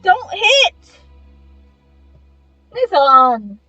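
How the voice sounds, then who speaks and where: A woman chatting casually and exclaiming excitedly as she reacts to a video game's story while playing it. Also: very high voice, extremely slow. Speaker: game streamer reacting to story. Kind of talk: casual gameplay reaction chat. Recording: dull lo-fi, game music behind. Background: game music.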